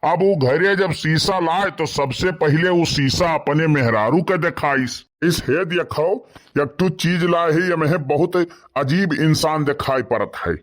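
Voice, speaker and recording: An actor plays a gossipy village man in a comedy cartoon, his voice pitched a bit high. He talks in a rustic Awadhi accent and has a mischievous, cynical way about him. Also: unhurried, lightly acted. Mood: wry storytelling. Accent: Awadhi, eastern Uttar Pradesh.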